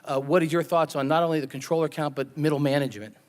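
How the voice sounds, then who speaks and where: A man's voice: on the high side, steady tone, fast talker. Emphatic American chairman in an echoing hall. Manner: emphatic, repeats words for stress. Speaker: committee chairman. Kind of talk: off-the-cuff remarks at a legislative hearing. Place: large indoor hearing room. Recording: reverberant hearing room.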